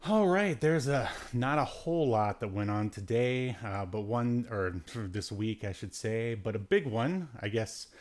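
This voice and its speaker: Low-voiced man chatting casually. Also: lively intonation, measured pace, hardly any pauses, darkish tone. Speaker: podcast host. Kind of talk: casual news chat.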